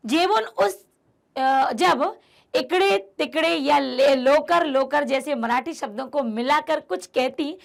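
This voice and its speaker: A woman's voice: high voice, slow pace, creaky. A woman teacher explaining a textbook chapter to her students, emphatic and repeating key phrases as she speaks to them directly. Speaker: Hindi teacher.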